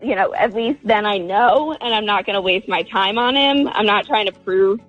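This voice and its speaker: A woman's voice: medium pitch, moderate pace, a bit bright, no room sound, muffled recording, faint music behind. A woman calling a radio show, talking casually and candidly in a hesitant, wordy way. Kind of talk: casual, candid relationship talk.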